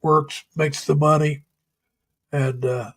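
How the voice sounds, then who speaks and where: A middle-aged man hosting a casual live stream, chatting with viewers in a folksy, rambling way, laughing often and pausing on many uhs. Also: a little high, flat delivery, slightly rough. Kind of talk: casual chat reading viewer comments. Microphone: home webcam or computer mic.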